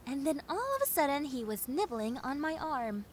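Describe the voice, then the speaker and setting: Woman acting a high-pitched, chatty, friendly zombie girl. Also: normal speed. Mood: playful, animated. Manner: rambling, bubbly, expressive, with hesitant ums and dramatic emphasis. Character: clumsy, chatty, friendly pacifist young female zombie. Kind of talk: character roleplay audio drama, one-sided conversation.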